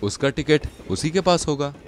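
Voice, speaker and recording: An actor playing a nervous, suspicious young male train passenger in an animated story. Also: fairly high pitch, moderate pace, a little hiss or hum, natural voice. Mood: puzzled. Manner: anxious, stammers when frightened.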